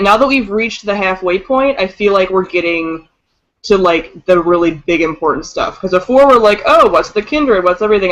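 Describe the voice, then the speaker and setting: A woman's voice: medium pitch, a bit bright, poor audio. A woman co-hosting a casual book chat, giving opinions in a gushing, slangy, informal way. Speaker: co-host of a book discussion livestream.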